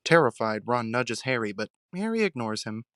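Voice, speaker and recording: A man's voice: medium pitch, big pitch swings, moderate pace, a bit bright, no room sound. Dry, close-sounding male narrator. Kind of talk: dramatic scripted narration.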